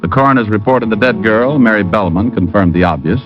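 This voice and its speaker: An actor playing a wisecracking hard-boiled private detective who also narrates, in an old radio drama. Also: male voice, on the low side, fast talker, close to an everyday voice. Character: wisecracking tough private detective, adult man. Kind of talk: 1940s-50s radio drama acting. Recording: dull old radio broadcast with music.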